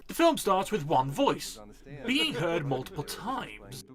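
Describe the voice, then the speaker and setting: A man's voice: on the high side, sing-song, slow pace, over music. A man narrating a scripted comedic film review in a sarcastic, sweary manner. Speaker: film review video host.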